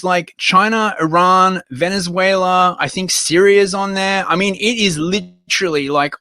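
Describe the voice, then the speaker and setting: Wordy, crude Australian man, bright voice. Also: high voice, no echo. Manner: crude, sarcastic and wordy, with coarse jokes. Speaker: podcast co-host. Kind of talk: casual podcast chat.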